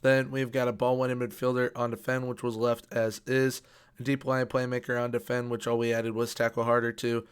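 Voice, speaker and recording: A young man talks through a video game in a casual, upbeat commentary, doubling words for emphasis. His voice is smooth and clean but held in a narrow, flat melody, and it sounds a little worn, as if he has been losing it lately. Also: mid-range voice, fairly quick. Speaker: video game tactics youtuber.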